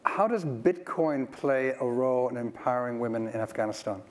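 A middle-aged man moderating a conference panel, asking articulate, measured questions on a lapel mic. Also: medium pitch. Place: large conference stage.

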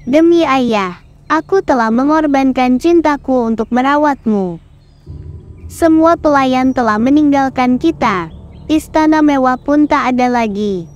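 An actress playing a gentle young princess in a dubbed cartoon, speaking in a pleading, sorrowful way. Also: female voice, high-pitched, unhurried, lo-fi sound.

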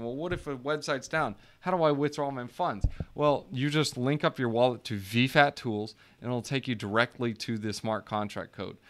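A man's voice: mid-range voice, a bit bright, clear, resonant tone. Man, casual walkthrough host, jokey and chatty, clean resonant voice. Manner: joking, chatty, addresses viewers as guys. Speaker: crypto YouTuber. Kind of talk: casual screen-share walkthrough.

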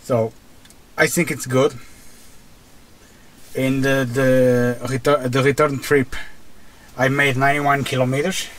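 A man with a Portuguese accent narrates his drive at an unhurried pace, his voice sitting at an average pitch. He stops often, filling the gaps with hesitations and restarts. He is speaking from inside a car. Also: flat delivery, fairly bright tone. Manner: halting, many fillers and restarts. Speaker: car vlogger. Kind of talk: casual narration while driving.

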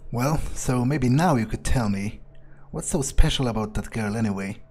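A man talks in character while playing a game. His voice is soft-edged and mellow, mid-pitched, and he feels his way through each line in a hesitant, low-key manner. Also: normal speed, faint music behind. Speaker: gamer roleplaying in character. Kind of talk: improvised in-character gameplay talk.

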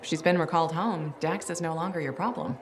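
A woman acting a confident game heroine, a mercenary pilot. She has a lowish voice and a dry, sardonic delivery, with slight ambient noise. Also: fairly quick, close to an everyday voice. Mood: dry, persuasive.

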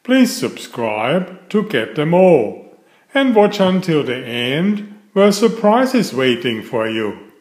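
A middle-aged man reading aloud to young children in a simple storytelling style, with clearly phrased delivery. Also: fairly high pitch, big pitch swings, takes it slowly, a little mellow. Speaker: children's book reader. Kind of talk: read-aloud bedtime storytelling. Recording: roomy, echoey voice recording.

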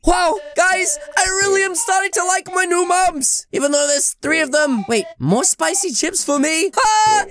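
Young man, extremely high cartoonish excitable voice. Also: normal speed, few pauses, no echo. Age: young adult. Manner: childlike, exaggerated, excitable. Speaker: YouTube gaming host playing an adopted child. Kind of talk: playful Roblox roleplay commentary. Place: small room at home. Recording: music behind the voice.

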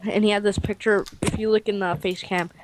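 A woman's voice: medium pitch, flat delivery, brisk, noisy background. A young woman chatting casually while gaming, rambling and joking in a crude way. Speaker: gamer in a multiplayer session.

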